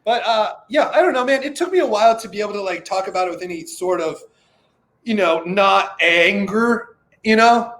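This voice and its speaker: Thirties comedian guest, high-ish voice, easygoing and wry about himself. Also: male voice, moderate pace, fairly bright tone. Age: 30s. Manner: laid-back, self-deprecating, jumps into a high voice when quoting himself. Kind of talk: casual chat.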